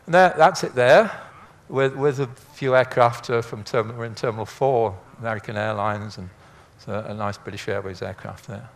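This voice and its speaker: A man's voice: medium pitch, normal speed, few pauses. An older man giving an informal illustrated lecture in a chatty, rambling, anecdotal way with dry humour. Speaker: speaker, former air traffic controller.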